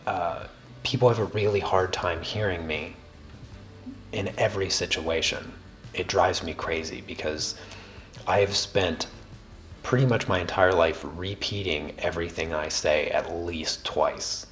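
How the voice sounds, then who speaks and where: A man with a very low, soft and quiet voice chats with viewers while drawing on a livestream. He talks slowly and deliberately, often repeating a word before going on. Faint music plays behind him. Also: fairly even intonation. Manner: slow, deliberate cadence with many restarts. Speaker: art livestream host.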